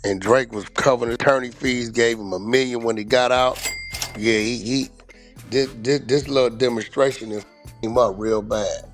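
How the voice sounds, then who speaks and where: A man's voice: mid-range voice, fairly even intonation, moderate pace, soft background music. A man in a played clip, talking casually in short, emphatic statements.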